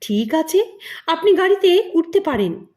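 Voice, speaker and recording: A woman's voice: extremely high pitch, big pitch swings, measured pace, darkish tone, pitched above the performer's own voice, heavily acted. A woman voicing a curious, teasing young man in an audio story, with a direct, playful way of asking.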